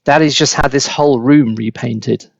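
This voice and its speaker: A man voicing a cheeky, excitable young grandson in a storybook, eager and full of exclamation. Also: mid-range voice, normal speed, vocal fry, no room sound, lo-fi sound. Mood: protesting.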